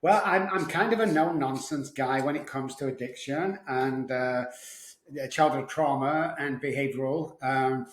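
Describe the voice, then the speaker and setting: A man's voice: mid-range voice, measured pace. A middle-aged man with a Manchester English accent, answering interview questions conversationally as a podcast guest. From Manchester, England. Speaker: podcast guest, addiction recovery specialist. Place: home office and recording studio. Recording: roomy, echoey office studio.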